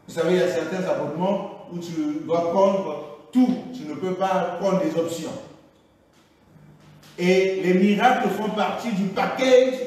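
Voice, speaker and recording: Man, pastor, fiery sermon full of alleluias, fairly high voice, picked up from afar in a big reverberant church hall. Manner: emphatic preaching, frequent alleluias, shifts between loud and hushed. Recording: echoey church hall, voice from far.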